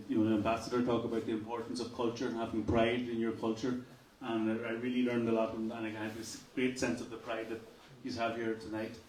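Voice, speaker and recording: A man's voice: mid-range voice, darkish tone. A man with an Irish accent whose first language is Irish, giving an earnest informal speech and searching for words. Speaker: Irish-language worker, guest speaker. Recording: roomy hall from a distance, faint noise.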